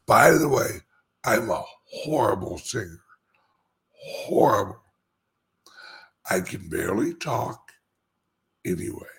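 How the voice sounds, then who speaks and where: Male crypto commentator, raspy and gravelly, very slow and emphatic delivery, informal solo address. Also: fairly low pitch, very animated, fairly bright tone. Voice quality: speech impediment. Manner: emphatic, repeats phrases with dramatic pauses. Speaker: cryptocurrency commentator and show host. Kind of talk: informal monologue commentary to followers.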